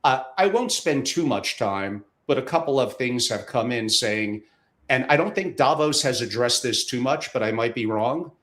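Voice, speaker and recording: A man's voice: medium pitch, expressive, moderate pace, a bit bright. A man hosting an interview, asking questions in an easygoing, self-deprecating way. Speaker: host.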